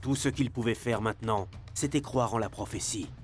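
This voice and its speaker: An actor narrating a game's epic tale in a dramatic scripted storytelling style with wry humour. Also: male voice, lowish voice, moderate pace, faint music behind, close to an everyday voice.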